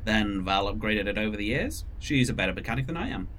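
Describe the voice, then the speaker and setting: A man's voice: fairly low pitch, expressive, fast, fairly bright tone, slight background noise. A man in his fifties playing a tired, genial train doctor who tinkers with prosthetics, in an audio drama. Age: 50s.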